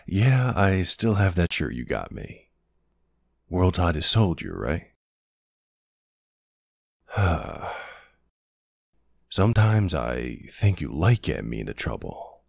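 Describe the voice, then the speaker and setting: Man acting as older ex-military boyfriend, very low husky voice, slow and unhurried, tender, teasing, protective, ASMR roleplay. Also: big pitch swings, no echo, clean studio sound, exaggerated voice. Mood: fond, teasing. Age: middle-aged or older character. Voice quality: husky, gravelly. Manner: affectionate teasing, hesitant pauses, soft reassurance.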